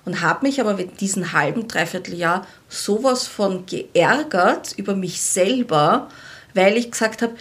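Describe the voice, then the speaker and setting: A woman's voice: medium pitch, expressive, measured pace. Female guest. Conversational, self-reflective, slips into quoted inner dialogue while telling personal anecdotes. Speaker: podcast guest, language learner. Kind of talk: casual podcast conversation.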